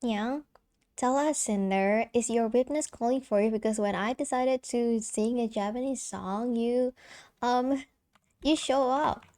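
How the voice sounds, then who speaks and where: A woman's voice: a little high, measured pace, darkish tone. A young woman streamer with a light soprano voice, chatting casually and giggling, with lots of fillers and restarts. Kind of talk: casual live-stream chat.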